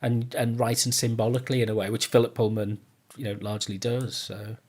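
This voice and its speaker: A man's voice: medium pitch, little pitch movement, brisk, darkish tone. A man hosting a casual podcast chat, speaking in a rambling, tentative way and often repeating his phrases. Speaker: podcast host.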